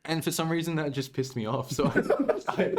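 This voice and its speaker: A man's voice: fairly high pitch, normal speed. A young man, a podcast guest, telling school stories in casual chat and laughing often.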